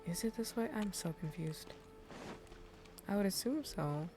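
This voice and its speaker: A woman's voice: mid-range voice, animated, a little slow, music in the background. A woman livestreaming a video game, chatting casually and reacting aloud as she plays. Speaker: game streamer. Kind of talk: casual livestream gameplay chat.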